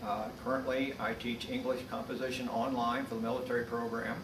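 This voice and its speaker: A man from the US Midwest speaking as a college instructor, giving a prepared introduction in a measured, earnest way. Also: medium pitch, little pitch movement. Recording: distant mic, roomy echo, noisy background.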